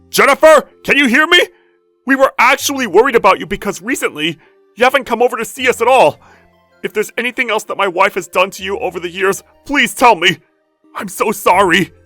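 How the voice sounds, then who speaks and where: A woman's voice: medium pitch, lively intonation, fairly quick, a bit bright, no room sound, faint music behind. A woman voicing a dismayed, apologetic older father-in-law who speaks earnestly in a narrated family story.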